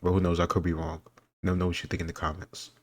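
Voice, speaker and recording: A man's voice: deep voice, monotone, fast talker. A man giving casual commentary in a rambling, musing way, often qualifying what he says. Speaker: host reacting to quiz questions. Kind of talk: casual commentary on history trivia.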